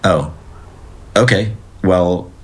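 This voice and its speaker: An actor playing an earnest, gentle plumber who rambles and hesitates, in naturalistic audio drama dialogue. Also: male voice, sits low. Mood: hesitant, polite. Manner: hesitant, halting, digressive.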